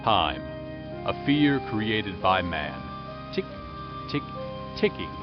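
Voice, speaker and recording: An actor playing an ominous, philosophical storyteller, narrating a gothic audio drama theatrically with dramatic pauses and drawn-out words. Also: male voice, sits low, big pitch swings, muffled recording, exaggerated voice. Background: prominent music.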